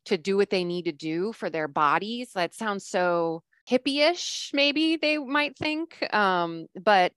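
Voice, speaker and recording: A woman's voice: medium pitch, expressive, takes it slowly, few pauses, fairly bright tone, clear, resonant tone, dry recording, studio quality. A woman hosting a podcast interview, chatty and encouraging as she responds to her guest. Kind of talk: conversational interview.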